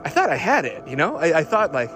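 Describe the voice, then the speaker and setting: A man acts out an obsessive car fanatic in a fairly high male voice, with music playing underneath. He rambles through an audio diary, stammering and restarting with breathless eagerness. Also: huge pitch swings, quick pace. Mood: fixated, uneasy. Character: excitable, obsessive man smitten with his old car.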